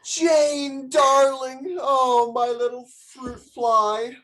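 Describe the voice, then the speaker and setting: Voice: high-pitched, flat delivery, extremely slow, a bit bright, exaggerated voice. A performer playing an anxious, sappy, lovestruck bridegroom in a detective radio play. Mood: gushing.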